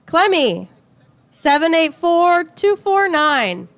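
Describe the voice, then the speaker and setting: A woman with a high voice announcing a raffle to a gathered crowd, playful and teasing as she reads out ticket numbers slowly. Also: very animated, a bit bright. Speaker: raffle announcer at a race event.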